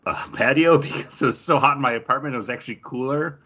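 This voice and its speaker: A man's voice: medium pitch, fast talker, pushed and bright, breathy edge, dull audio. A man co-hosting a comic book podcast in casual, conversational chat.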